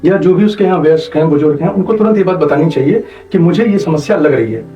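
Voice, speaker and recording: Man, high mellow voice, didactic doctor, echoey distant recording. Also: expressive, fast talker. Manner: didactic, repeats points, checks understanding. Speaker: doctor, interview guest. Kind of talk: explanatory medical interview. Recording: dull, echoey, distant mic, faint music behind.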